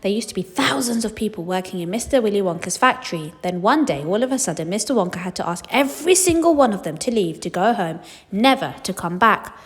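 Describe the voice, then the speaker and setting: A woman voicing an old grandfather who tells a tale in a steady, confiding way, in a storybook reading. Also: mid-range voice, quick pace, breathy edge.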